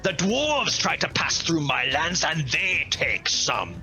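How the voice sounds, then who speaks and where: A man voicing a huge, suspicious warlord chieftain who snarls and growls out slow, deliberate threats in a tabletop game. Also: on the high side, sing-song, creaky, pushed and bright, soft background music, pitched above the performer's own voice, exaggerated voice. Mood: menacing.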